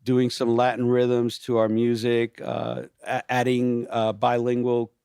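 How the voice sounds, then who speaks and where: A man talking in a relaxed podcast conversation, his voice sitting at a middle pitch. He grows animated as he goes, restarting sentences and leaning on "you know". The sound is dry and close. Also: unhurried, smooth, no echo. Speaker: pastor and worship leader, guest.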